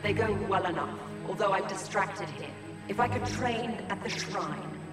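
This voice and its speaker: A woman playing a proud, devoted mage commander in a fantasy video game, formal, measured and intense. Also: mid-range voice, lively intonation, close to an everyday voice. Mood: deferential, determined. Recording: echoey hall, distant, loud music. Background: loud music.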